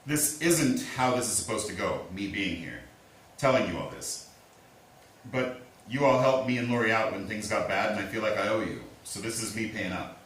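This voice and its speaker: A man acting in a staged reading as a steady, apologetic small-town lawman, speaking in a measured, reassuring way. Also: fairly low pitch. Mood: measured, uneasy. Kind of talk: staged play reading, naturalistic. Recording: echoey room, distant mic, faint hiss.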